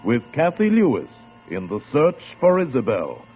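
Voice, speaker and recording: A man's voice: mid-range voice, huge pitch swings, normal speed. A man announcing a vintage radio show in a dramatic, declamatory, scripted style. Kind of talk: 1940s-50s radio show introduction, scripted. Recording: muffled vintage radio, music, hum.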